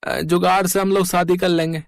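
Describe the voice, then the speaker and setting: A man's voice: high voice, level tone, moderate pace, vocal fry, no echo. Man playing cheeky young beggar groom, dry sound. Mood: impatient, cheeky.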